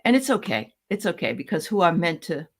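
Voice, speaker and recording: A woman from New Hampshire hosting a casual interview, speaking in a friendly, halting way with many fillers. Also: on the low side, sing-song. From New Hampshire, USA. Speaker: host.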